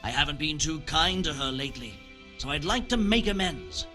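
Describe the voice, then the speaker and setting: A man's voice: a little high, expressive, normal speed, pushed and bright, soft background music. A man playing a sly, greedy townsman who commissions thefts in a video game, talking in a knowing, theatrical, wheedling way. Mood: scheming. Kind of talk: dramatic video game dialogue.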